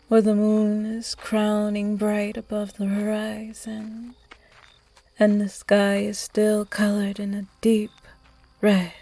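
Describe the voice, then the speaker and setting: A woman voicing a charming, flirtatious female vampire at a masked ball in an audio roleplay, speaking in soft, seductive whispers with a breathy voice. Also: medium pitch. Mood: dreamy.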